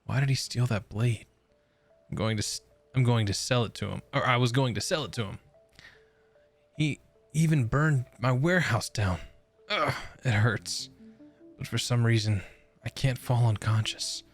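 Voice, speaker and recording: A man's voice: medium pitch, moderate pace, pauses often. A man hosting a gaming video, casually commenting and reading game text aloud as he plays. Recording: game music behind the voice.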